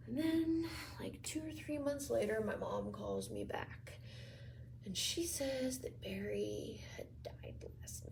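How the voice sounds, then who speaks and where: A woman playing a guilt-ridden younger woman in a confessional stage monologue, hesitant and subdued, slipping into breathy hush. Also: high voice, a little hiss or hum, heavily acted, rough recording.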